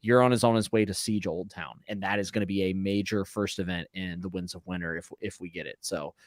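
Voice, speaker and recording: A man's voice: deep voice, fairly even intonation, fast talker, dry recording, studio quality. A man co-hosting a live readalong chat, talking casually about a book.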